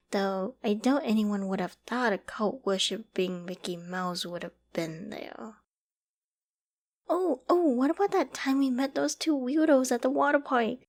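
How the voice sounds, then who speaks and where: Female actor for obsessive young woman survivor, zombie-apocalypse roleplay. Highish voice, emotional, stammering, intimate ASMR delivery. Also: a little mellow. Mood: nostalgic, lighter. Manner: emotional, halting and stammering, often dropping to whispers and sighs. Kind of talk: dramatic ASMR roleplay audio acting.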